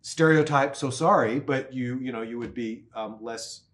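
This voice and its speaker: A man from the American South hosts a podcast interview. He talks conversationally at a medium pitch, putting questions to his guest. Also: moderate pace, a bit bright. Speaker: podcast host.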